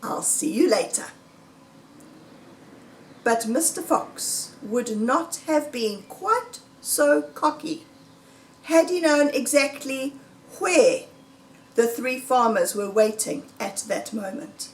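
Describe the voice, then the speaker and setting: Woman storyteller, very animated intonation, suspenseful emphasis, echoey room, distant mic. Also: sits high, slow pace, slight background noise. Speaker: storybook reader. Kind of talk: expressive read-aloud of a children's story. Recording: roomy echo, distant microphone.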